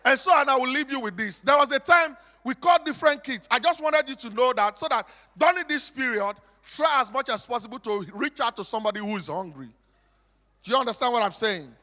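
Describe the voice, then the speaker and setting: A man with a Nigerian accent and a strikingly high, bright voice tells stories in a preacher's style. He drives points home by repeating them and throwing questions at his listeners. His words ring through a hall with long reverb. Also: lively intonation, fairly quick. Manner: emphatic, repetitive, with rhetorical questions. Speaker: stage speaker and comic storyteller. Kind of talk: animated preaching-style storytelling to an audience.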